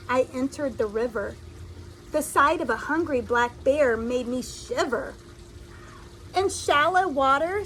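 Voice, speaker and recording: A woman reading a children's story aloud in an expressive, deliberate storytelling style, like a teacher addressing young listeners. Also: sits high, a little hiss or hum, low-quality recording.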